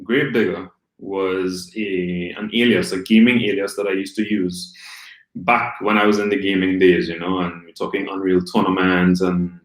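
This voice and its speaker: A man from Trinidad and Tobago in his thirties, a guest telling his story in a relaxed, rambling way with many ums. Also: sits low, normal speed. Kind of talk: casual live-stream interview. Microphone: video call. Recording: echoey room on a video call.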